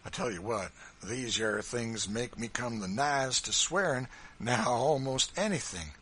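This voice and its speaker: A man voicing a huge, kindly older farmer with a rustic Kentucky dialect, talking in a loud, folksy, emphatic drawl. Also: medium pitch, expressive, measured pace, slightly rough, exaggerated voice. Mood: pitying.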